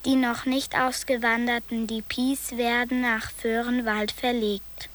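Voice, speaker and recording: Slow deliberate woman narrator. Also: a little high, level tone, a bit bright. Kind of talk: reading dates and chronicle entries.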